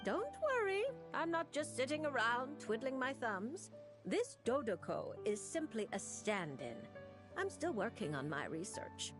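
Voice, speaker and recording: A woman's voice: fairly high pitch, sing-song, measured pace. A woman playing a brusque, scholarly, easily exasperated diviner in a video game, dry and fussy. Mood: dry, assured. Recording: music behind the voice.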